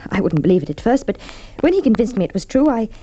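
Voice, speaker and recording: An actress playing an unhappy, scheming wife in a Golden Age radio drama, speaking in a measured, hesitant, evasive way. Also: female voice, on the high side, very animated, dark tone, slightly rough. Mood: hesitant, earnest. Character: unhappy, scheming wife questioned over her husband's murder. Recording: dull, lo-fi old radio recording.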